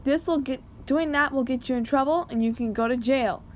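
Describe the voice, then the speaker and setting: A woman's voice: sits high, fairly quick, slight background noise. A woman delivering scripted narration as a disclaimer reader.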